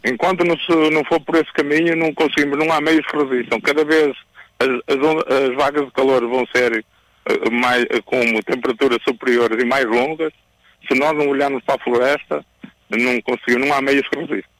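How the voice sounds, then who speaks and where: A man with a European Portuguese accent giving a statement to radio as a fire commander, halting and repeating words. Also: mid-range voice, flat delivery, fairly bright tone, no room sound, rough recording. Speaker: fire brigade commander being interviewed. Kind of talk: spoken statement to a radio reporter.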